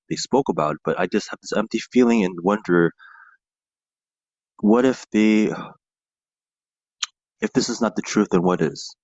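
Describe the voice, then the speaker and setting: Low, halting man on a dull voicemail. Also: darkish tone, no room sound. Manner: halting, searching, repeats words. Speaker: caller leaving a voicemail. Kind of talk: unscripted voicemail message. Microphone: phone voicemail. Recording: dull, dry voicemail audio.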